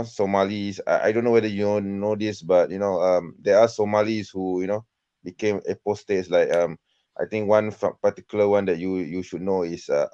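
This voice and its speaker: A low-pitched man with a Malaysian accent calls in over a dull, lo-fi connection. He asks casual questions in a hesitant way, often repeating his phrases. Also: a little mellow. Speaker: caller. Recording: dull lo-fi call.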